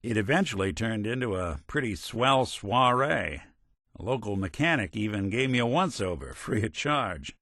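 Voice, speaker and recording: An actor playing a world-weary, principled robot detective in a video game, with an American accent and wry, plainspoken, hard-boiled old-time talk. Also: male voice, on the low side, sing-song, moderate pace, pushed and bright, no echo, clean studio sound, full character voice. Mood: wry, reflective. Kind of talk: video game character dialogue.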